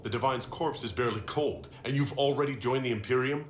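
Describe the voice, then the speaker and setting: A man's voice: mid-range voice, fairly quick, natural voice. An actor playing a firm, pragmatic hero in a video game, direct and assertive as he negotiates. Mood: challenging. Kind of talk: dramatic video game acting. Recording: roomy echo, faint noise.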